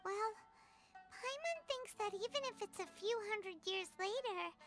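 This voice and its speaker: A tiny, chirpy fairy companion chatters in a very high, bouncy voice and calls herself by name. Game music plays clearly behind her. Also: lively intonation, measured pace. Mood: encouraging. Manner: perky, speaks of herself in third person. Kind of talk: game voice acting.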